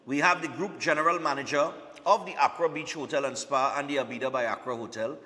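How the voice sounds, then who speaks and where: A man's voice: medium pitch, fast talker, sharp tone, in a large hall. A man hosting a live morning TV show, chatty and repetitive, often checking in with "all right?".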